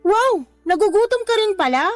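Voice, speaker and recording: A woman voicing a young girlfriend in a radio drama. She answers back with quick, exasperated retorts. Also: very high-pitched, animated, soft background music. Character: patient young girlfriend who stands her ground. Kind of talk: Tagalog radio drama acting.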